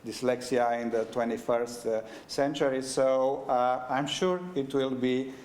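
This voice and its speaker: A man whose first language is Romanian opens a book launch event, pausing often and restarting his sentences. His voice sits at a middle pitch. It carries in a reverberant room with a faint low rumble behind it. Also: flat delivery, darkish tone. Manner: halting, many fillers and restarts. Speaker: event host introducing the book. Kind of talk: opening remarks at a book launch. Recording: echoey lecture room.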